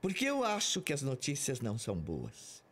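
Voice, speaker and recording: An actor playing a stern, authoritative squad commander in an audio drama, speaking firmly. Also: male voice, medium pitch, wildly swooping pitch, normal speed, fairly bright tone, heavily acted. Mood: grave. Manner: firm, authoritative.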